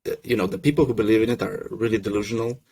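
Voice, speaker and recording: A young man from Russia who grew up in Finland, a podcast guest telling his story in a relaxed, self-deprecating way with many restarts. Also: on the low side, racing, creaky, slightly rough. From Russia, raised in Finland. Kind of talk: casual podcast chat.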